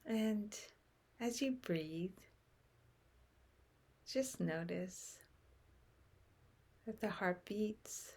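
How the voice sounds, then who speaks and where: A woman leading a guided meditation in a gentle, soothing manner, with phrases that often soften into breath. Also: medium pitch, lively intonation, extremely slow, pauses often.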